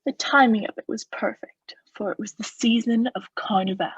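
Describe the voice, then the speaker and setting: A young woman playing a coldly vengeful noble who feigns friendship, in a measured, formal, insinuating theatrical radio-play style. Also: on the high side, steady tone, husky, no room sound, muffled recording. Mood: sly recounting. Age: young adult. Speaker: student actor.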